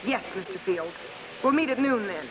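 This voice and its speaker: An American woman playing a bossy, quick-tempered, showy female vaudeville star in a 1950s radio drama, talking in brash, commanding slang. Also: medium pitch, lively intonation, natural voice, rough recording. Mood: polite, clipped. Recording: vintage 1950s radio broadcast with music.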